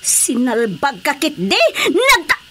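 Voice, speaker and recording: A woman with an Ilocano accent playing an excitable, bossy village captain in a radio comedy drama. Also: high-pitched, slow, very noisy, exaggerated voice. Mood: furious scolding. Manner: dramatic, exclaiming, scolding.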